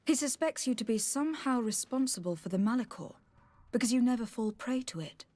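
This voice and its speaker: A woman's voice: fairly high pitch, expressive, darkish tone, no room sound. Composed noblewoman, dry close sound. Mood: probing. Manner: formal, measured, probing. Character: composed, diplomatic noblewoman investigator. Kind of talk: fantasy video game dialogue.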